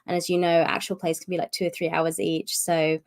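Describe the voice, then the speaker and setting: A woman's voice: sits low, monotone, brisk. A woman hosting a casual live-stream update, chatty and rambling with many fillers, her voice strained from a cough. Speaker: host of publisher's live stream.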